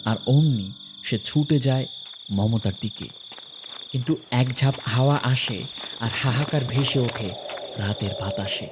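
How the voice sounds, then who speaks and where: A man narrating a horror story from a script, dramatically, in short phrases with pauses. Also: medium pitch, noisy background.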